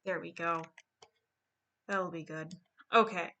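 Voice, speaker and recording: Bright-voiced woman hosting a livestream. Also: on the low side, fairly even intonation, takes it slowly. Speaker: livestream host. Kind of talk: casual chat.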